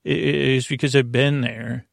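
Creaky-voiced man rambling for a sleep podcast. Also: medium pitch, fairly even intonation, no room sound, clean studio sound. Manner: halting, meandering, stammering and repeating words; reassuring, companionable. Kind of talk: rambling, whimsical bedtime monologue.

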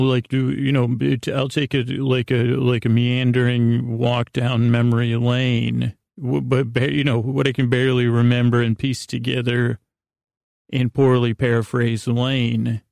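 A man hosting a bedtime podcast, rambling haltingly in a creaky, soothing voice and often trailing off mid-thought. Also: mid-range voice, darkish tone, no room sound, clean studio sound. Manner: soothing, lulling delivery. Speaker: podcast host.

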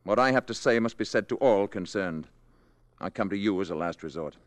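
A grave, polite visitor from another planet, acted by a man in an old radio play. His voice is low and dry, and his phrasing is formal and measured. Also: lively intonation, dry recording, lightly acted. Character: calm, grave, courteous male alien emissary posing as lodger. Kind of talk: 1950s radio drama acting. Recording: 1950s radio broadcast recording.

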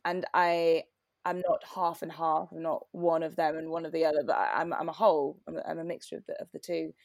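A woman's voice: deep voice, flat delivery, fairly quick, creaky, no room sound. A young woman from Britain, a guest in a casual chat, talking reflectively and often restarting her sentences.

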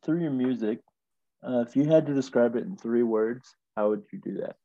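A man's voice: mid-range voice, normal speed, mellow, muffled recording. A man hosting a podcast interview, asking easygoing questions and giving short nods of agreement. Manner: brief affirmations, easygoing questions. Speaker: podcast host. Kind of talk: casual interview.

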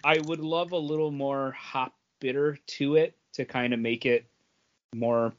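A man co-hosting a casual podcast, reviewing in a halting, measured way. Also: medium pitch, steady tone, a little hiss or hum. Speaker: podcast co-host. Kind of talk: casual beer review chat. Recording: dull lo-fi audio.

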